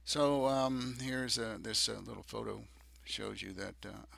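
Low, gravelly older man, halting delivery. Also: a bit bright, dry recording. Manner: halting, hesitant delivery with many ums. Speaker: DIY presenter. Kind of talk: unscripted narration of a project video.

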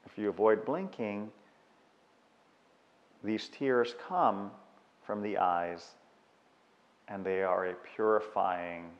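A man leads a guided meditation in a very low voice. He speaks very slowly and measures out each instruction, leaving long pauses. The sound carries a long, lingering reverb. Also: dull audio.

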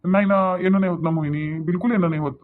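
A man's voice: high voice, steady tone, fast, lightly acted. A man voicing a mild, evasive young husband in a Marathi cartoon, soothing and repeating himself in Vidarbha dialect, on narrow muffled audio. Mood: apologetic. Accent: Vidarbha (Varhadi) Marathi. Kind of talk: Marathi cartoon story dialogue.

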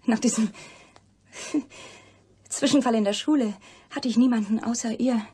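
An actress playing a guarded, wistful former lab assistant living as a recluse in a radio crime drama, with a breathy, measured delivery that sometimes drops to a whisper. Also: female voice, on the high side, mellow. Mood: troubled confiding. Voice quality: husky, breathy.

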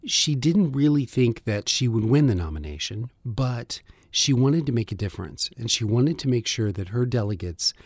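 A man hosting a radio program, reading a scripted introduction and asking questions in a measured, deliberate way. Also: mid-range voice, lively intonation, no echo, studio quality. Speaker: radio host. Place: radio studio.

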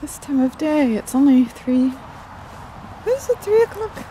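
A middle-aged woman chatting casually and gently while walking outdoors, as in an ASMR roleplay. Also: high voice, expressive, poor audio. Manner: gentle, unhurried, meandering. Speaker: ASMR video creator. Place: outdoors on paths through woods and streets. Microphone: handheld camera at arm's length. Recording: outdoor ambient noise, passing traffic.

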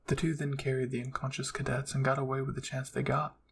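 A man's voice: mid-range voice, flat delivery, quick pace, vocal fry, a bit bright, a little hiss or hum. A man reading scripted fan fiction aloud as a storyteller. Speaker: storyteller, channel host.

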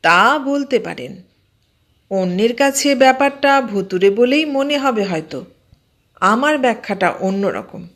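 A woman voicing a mild, stubbornly sceptical man in an audio story, explaining things in a measured, matter-of-fact way. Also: on the high side, lively intonation.

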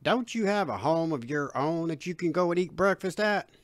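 A woman voicing a gruff, folksy, middle-aged country father with slangy, homespun phrasing in an audio story. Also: lowish voice, lively intonation, moderate pace, no room sound, studio quality, close to an everyday voice. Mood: irritated.

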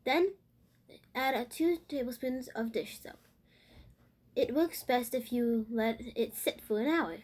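High, mellow, slightly husky female narrator. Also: a little slow, long pauses. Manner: simple step-by-step explaining. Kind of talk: instructional voice-over for a children's craft.

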